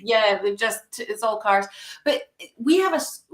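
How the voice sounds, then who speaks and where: A woman coach, a guest, explaining calmly and clearly with everyday analogies. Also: mid-range voice. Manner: calm, measured explanations using analogies. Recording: echoey room.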